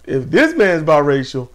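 A man in casual wrestling chat with a somewhat high voice, animated and quick to laugh. He talks straight to his viewers and calls them y'all. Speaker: podcast co-host.

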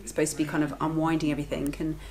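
A woman's voice: low voice, level tone, very fast, a little mellow, a little hiss or hum. A woman in her thirties talking casually to the camera in her home kitchen, matter-of-fact and chatty. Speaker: vlogger, lifeguard and working mother.

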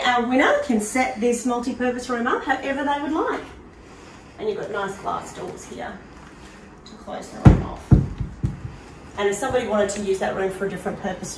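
Australian woman, echoey house-tour narration. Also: on the high side. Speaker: presenter of a prize home tour. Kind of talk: casual walk-through tour narration. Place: walking through hard-surfaced apartment rooms. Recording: roomy echo, distant mic, background noise.

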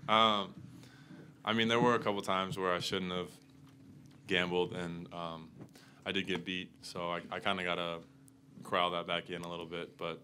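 A young man, a college basketball player, answering in a relaxed, slightly sheepish way into a table mic. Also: low voice, moderate pace, slight background noise. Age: young adult. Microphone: table mic in front.

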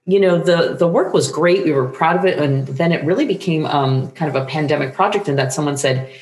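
A woman's voice: deep voice, brisk, a little mellow. A woman in her forties gives enthusiastic, meandering interview answers. She is on a video call, and the room rings noticeably around her voice. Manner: rambling, enthusiastic. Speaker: interview guest, playwright. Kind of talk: casual interview chat. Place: book-lined home room, indoors. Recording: roomy home video call.

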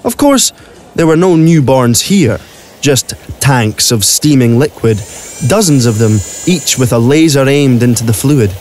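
A man's voice: medium pitch, animated, unhurried, a little mellow, a little hiss or hum. A man narrating a scripted audio drama as a storyteller. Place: recording studio. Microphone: close studio microphone.

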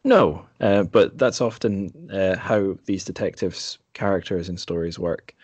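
Male podcast host, low voice, casual bookish conversation, dry close sound. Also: a little slow, a little mellow, slightly rough, dry recording, dull audio. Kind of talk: casual literary discussion.

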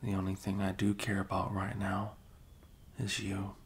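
A man's voice: completely flat delivery, normal speed, gravelly. A young man playing a sleepy, caring, teasing best friend in an intimate ASMR roleplay, murmuring drowsily in casual slang. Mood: tender concern. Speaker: audio roleplay performer. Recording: low rumbling background noise. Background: faint rumble and blanket noises.

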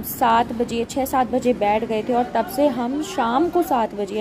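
A young woman narrating her travel vlog in a casual, chatty way, with filler pauses. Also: on the high side, background noise. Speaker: travel vlogger.

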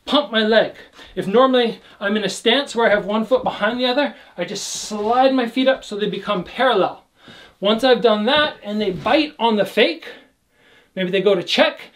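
Energetic young man coaching kickboxing. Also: high voice. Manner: calls out combos while demonstrating, energetic. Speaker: kickboxing instructor. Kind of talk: workout instruction. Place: indoor room with trophy shelves.

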